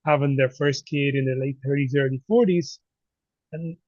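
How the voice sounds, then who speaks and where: A man in his forties hosting a podcast, talking in a relaxed, chatty way from home over a remote call. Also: mid-range voice, normal speed, no room sound. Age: 40s. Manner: relaxed, chatty, laughs easily. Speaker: podcast host. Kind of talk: casual conversation. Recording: dry, dull lo-fi call audio.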